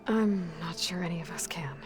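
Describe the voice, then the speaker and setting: An actress playing a guarded, determined young huntress in a video game, with an American accent and an understated, earnest delivery. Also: female voice, fairly low pitch, moderate pace, natural voice. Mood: reserved, caring. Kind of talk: naturalistic video game acting.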